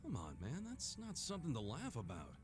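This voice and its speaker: Game role: good-hearted male street thug, blunt and slangy, lively intonation on a lowish voice, music underneath. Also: a little fast. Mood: incredulous. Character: brash, good-hearted street-tough male gangster, plain-spoken. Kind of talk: video game cutscene dialogue.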